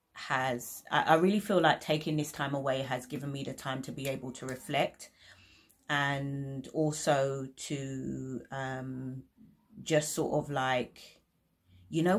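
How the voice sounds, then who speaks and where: A young woman speaks to her camera from a bedroom, her voice sitting unusually low. She talks in a candid, rambling way, full of fillers and restarts. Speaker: vlogger. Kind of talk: casual sit-down chat to camera. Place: bedroom indoors.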